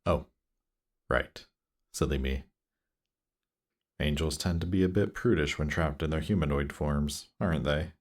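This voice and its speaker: Man playing a very deep-voiced seductive demon prince. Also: steady tone. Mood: playful, amused. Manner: teasing, unhurried, coaxing. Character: seductive male demon prince of Hell, teasing, coaxing. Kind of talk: intimate roleplay audio drama.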